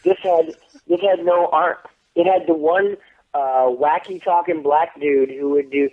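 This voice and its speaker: A man's voice: a little high, a little slow. Profane man ranting over a phone line. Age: 30s. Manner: profane, boastful, animated storytelling with quoted voices. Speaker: interview guest, actor. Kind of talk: casual phone interview.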